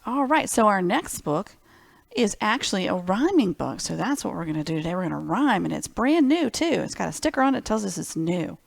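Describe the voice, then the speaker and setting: A woman's voice: mid-range voice, big pitch swings, fairly quick, vocal fry, dry recording. A woman hosting a children's library storytime, reading picture books expressively and speaking simply and directly to young listeners.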